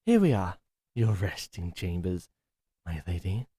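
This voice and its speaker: A man's voice: sits low, very animated, a little slow, dark tone, breathy edge, heavily acted. A man playing a chipper, theatrical male butler with a hidden menace in an audio roleplay drama, speaking formally.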